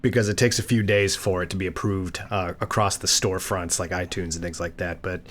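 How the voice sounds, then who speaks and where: A man's voice: low-pitched, steady tone, fairly quick, fairly bright tone. A man talking casually and conversationally as the co-host of a podcast.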